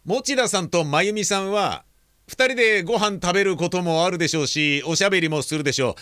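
A man's voice: high-pitched, pushed and bright, clean tone, no room sound, studio quality. A man acting in an audio drama as a polite but nosy middle-aged father who asks probing questions. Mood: prying. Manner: probing, courteous, drawn-out questioning.

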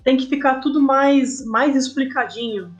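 A woman's voice: high-pitched, measured pace. Brazilian woman chatting over faint music. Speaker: interview guest, comic artist and game writer. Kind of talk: casual interview conversation. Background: background music.

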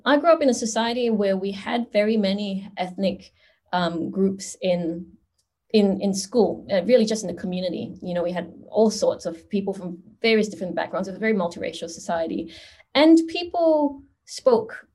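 A middle-aged woman from Singapore, a guest answering interview questions in a thoughtful, measured way, often adding "you know". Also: medium pitch, lively intonation, mellow. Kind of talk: conversational interview.

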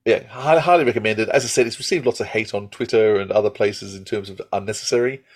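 A man with a slightly low voice talks as a podcast host in casual review mode. He is enthusiastic and rambling, often interrupting himself to start a thought again. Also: fast.

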